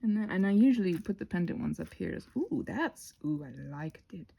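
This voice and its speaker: Female craft host, scattered and playful, lively swoops with creaky, slightly husky edges, casual thinking-aloud delivery. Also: lowish voice, fairly quick. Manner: playful, expressive exclamations. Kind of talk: rambling casual craft narration.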